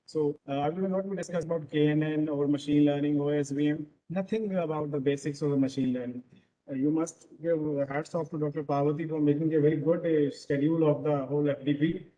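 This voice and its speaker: A man from Punjab with a Punjabi accent, giving an online lecture over a video call and pausing often with fillers. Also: fairly high pitch, fairly even intonation, mellow, highs cut off. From Punjab, India. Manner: hesitant, many filler pauses. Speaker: guest lecturer.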